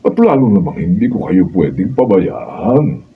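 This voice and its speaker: A man's voice: low-pitched, very animated, measured pace, phone-quality sound, slight background noise. A Filipino man playing an older, indulgent father and grandfather in a radio drama, with thin, distant sound. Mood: tender, resigned.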